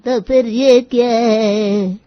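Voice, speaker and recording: A woman voicing a bossy, demanding old grandmother-in-law in a cartoon moral story, imperious and scolding, with drawn-out words. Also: on the high side, steady tone, no room sound, muffled recording, faint music behind. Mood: suspicious. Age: older.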